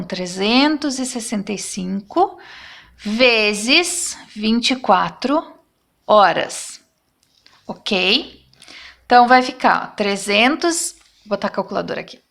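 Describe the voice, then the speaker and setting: Slow, high-voiced woman teaching math. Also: fairly bright tone. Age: 30s. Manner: patient, checks in with "tá?" after each step. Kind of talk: step-by-step math lesson.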